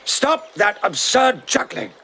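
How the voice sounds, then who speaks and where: A man's voice: extremely high pitch, big pitch swings, slow, sharp tone, breathy edge, exaggerated voice. An actor playing a haughty, commanding lord and swordsman who is easily unnerved, in a radio drama. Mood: haughty, irritated.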